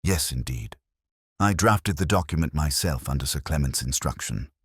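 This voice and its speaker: An anxious Victorian private secretary, acted out by a man reading an audiobook. He is polite, formal and precise, with a very low voice that moves expressively, in a dry recording. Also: lively intonation, normal speed, slightly rough, no room sound, exaggerated voice. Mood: uneasy.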